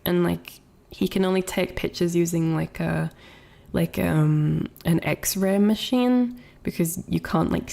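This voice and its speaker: Gravelly young woman with a cold. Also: lowish voice, mellow. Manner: rambling, many fillers and restarts. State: has a cold, congested. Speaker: streamer. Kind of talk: casual chat with chat.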